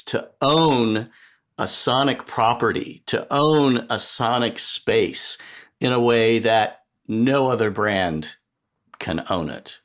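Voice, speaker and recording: A middle-aged or older man giving thoughtful, articulate interview answers as a podcast guest, in measured, reflective phrasing. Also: mid-range voice, fairly bright tone. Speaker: podcast guest, sonic strategy expert.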